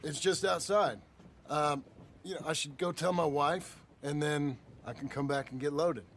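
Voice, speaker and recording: An actor playing a weary, gruff outlaw husband trying to go straight, with an American accent and folksy, halting speech in a western game. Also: male voice, fairly high pitch, fairly bright tone, a little hiss or hum. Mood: humble, hesitant. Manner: folksy, plain-spoken, halting. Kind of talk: cinematic western game dialogue.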